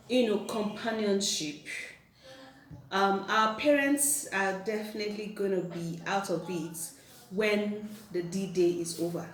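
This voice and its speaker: A chatty woman from Nigeria talks with her husband, reining in a loud voice and circling back on her words. She sits a little off the mic in a roomy, echoing space with a faint hiss underneath. Her pitch sits mid-range. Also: far from the mic. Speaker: vlogger co-host. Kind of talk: casual couple chat.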